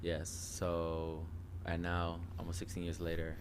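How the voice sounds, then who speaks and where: A Dutch man in his early thirties, speaking English with a Dutch accent, relaxed and chatty as a coach telling his story in an interview. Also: sits low, a little slow, slight background noise. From Netherlands. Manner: relaxed, chatty, many fillers and self-restarts. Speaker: jiu-jitsu coach and interview guest.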